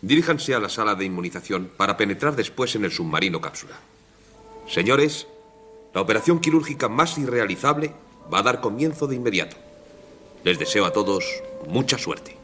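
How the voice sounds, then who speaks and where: Stern commanding official, low husky voice, lively intonation, solemn, formal, radio drama. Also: male voice, fairly quick, soft background music.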